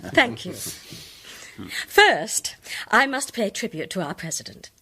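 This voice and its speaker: An incisive lady detective, lively intonation. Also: on the high side, slow pace, husky, full character voice. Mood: assured, incisive. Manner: precise, assured, formal. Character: poised, incisive lady amateur detective, coolly contradicting. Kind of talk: British radio drama acting.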